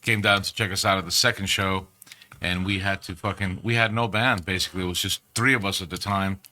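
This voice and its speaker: A Peru-born man in his forties, a band member, recounts the early days of his group in a loose, swearing, animated way. His voice sits low but comes across very bright and forceful. He keeps a casual interview tone. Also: brisk, slight background noise. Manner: animated, profane, rambling storyteller.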